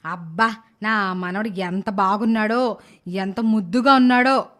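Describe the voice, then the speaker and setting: A woman's voice: medium pitch, unhurried, fairly bright tone, natural voice. Woman playing a blunt, nagging old grandmother. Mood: doting. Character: blunt, scheming old grandmother and mother-in-law. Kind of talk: animated moral story dialogue.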